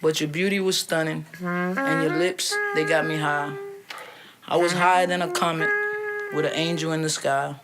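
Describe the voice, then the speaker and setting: A man's voice: fairly high pitch, fairly even intonation, moderate pace, loud music behind the voice. A man reciting spoken-word poetry in short rhymed phrases. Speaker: prison poetry student. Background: trumpet playing.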